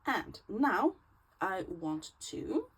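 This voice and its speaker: A woman's voice: mid-range voice, big pitch swings, drawn-out words, fairly bright tone, a little hiss or hum. A Swedish woman with a Swedish accent, her native tongue Swedish, hosting a casual craft tutorial and chatting with hesitant fillers as she works. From Sweden. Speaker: crafting channel host.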